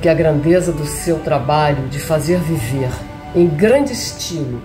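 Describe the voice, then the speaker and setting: Slow-reading woman over music. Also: low voice, animated. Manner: solemn, declamatory, measured phrasing. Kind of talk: reading a poetic tribute text aloud.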